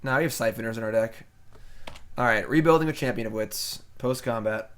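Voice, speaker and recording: A man's voice: mid-range voice, fairly quick, a bit bright. A man streaming a card game live, casually thinking aloud about his plays and criticizing his own mistakes. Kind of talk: casual live gameplay commentary.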